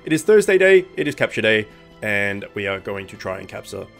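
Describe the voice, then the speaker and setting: Stuffy-nosed young guy with a cold chatting over a game, lowish voice, lots of ums, soft music under it. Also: big pitch swings, fairly quick, vocal fry. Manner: rambling, many ums and self-corrections. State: congested with a cold, blocked nose. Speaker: gaming channel host. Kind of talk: casual gameplay commentary. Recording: faint music bed underneath.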